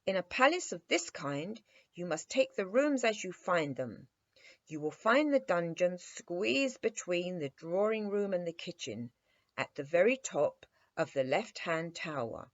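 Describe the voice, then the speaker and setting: A woman voicing a stern, self-important male toy captain with a gruff manner, in a children's storybook reading. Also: fairly low pitch, big pitch swings, unhurried, lo-fi sound. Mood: stern, pompous.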